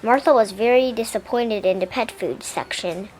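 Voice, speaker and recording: A woman reading a children's picture book aloud as an expressive narrator. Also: a little high, normal speed, slight background noise, rough recording. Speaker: storybook reader.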